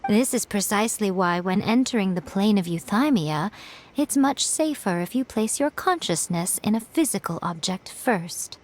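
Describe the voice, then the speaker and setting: A woman's voice: medium pitch, lively intonation, slight background noise, natural voice. A woman playing a composed, teasing female shrine priestess in a game, speaking wryly and unhurriedly. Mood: explaining. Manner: wry, unhurried, faintly mocking. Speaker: game character.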